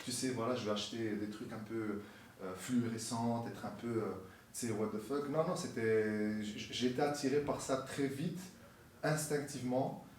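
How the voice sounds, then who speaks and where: A man chatting casually in an interview as a musician guest, relaxed and mixing in English slang, recorded in a sneaker store. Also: medium pitch, moderate pace, slight background noise. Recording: echoey store from far off.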